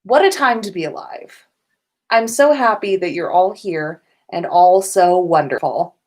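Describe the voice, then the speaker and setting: Slow-paced, chatty woman livestreamer. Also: fairly low pitch, animated. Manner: informal, chatty, sprinkled with swearing and giggles. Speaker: podcast host on a livestream. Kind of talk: casual livestream chat with viewers. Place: indoors at home.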